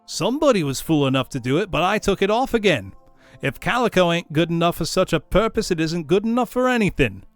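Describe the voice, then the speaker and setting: A man's voice: high-pitched, sing-song, fairly quick, no echo, soft background music, pitched above the performer's own voice. A man voicing a brazen, scornful charwoman in a storybook reading, coarse, gloating and emphatic. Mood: brazen, self-satisfied. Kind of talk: storybook character voice.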